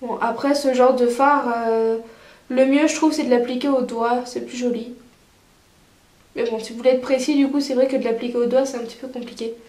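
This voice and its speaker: A woman's voice: on the high side, steady tone, brisk, a little mellow, echoey room. A woman vlogger with a cold, chatting casually through a makeup tutorial with many fillers. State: stuffy with a cold. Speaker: beauty vlogger.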